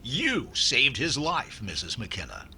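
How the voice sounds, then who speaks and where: A man playing a brisk, official police inspector explaining a case in a 1950s-style radio comedy. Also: mid-range voice, wildly swooping pitch, pushed and bright, slight background noise, exaggerated voice. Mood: official, matter-of-fact.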